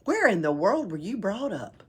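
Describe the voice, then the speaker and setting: Lady doing a cheeky little girl from a storybook, bold and emphatic, swoopy pitch, bit raspy. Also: very animated. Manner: emphatic, drawn-out counting and confident retorts.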